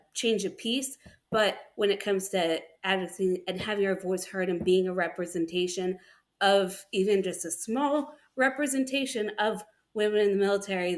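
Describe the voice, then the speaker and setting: A woman serving in the military, speaking conversationally as a panelist in a discussion. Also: medium pitch.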